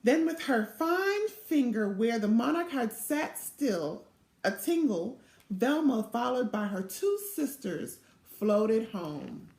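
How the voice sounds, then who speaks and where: A middle-aged woman reading a children's storybook aloud in a deliberate, expressive way, recorded in a small room. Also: fairly high pitch, creaky. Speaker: volunteer storybook reader. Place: small indoor room or home office. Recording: roomy, echoing home webcam recording.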